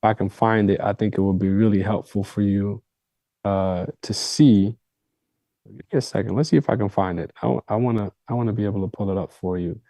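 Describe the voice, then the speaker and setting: A man's voice: lowish voice, steady tone, fairly quick, mellow, no room sound. A man whose first language is Arabic, coaching listeners on a call with emphatic, repetitive phrasing. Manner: emphatic, repeats phrases for stress. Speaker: coach and host.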